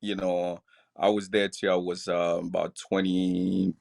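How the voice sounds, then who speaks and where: A man in his forties from Cameroon with a Cameroonian accent, chatting as a podcast guest, relaxed and laughing easily between filler pauses. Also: low voice, creaky. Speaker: podcast guest, clothing brand founder. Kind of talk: casual interview chat.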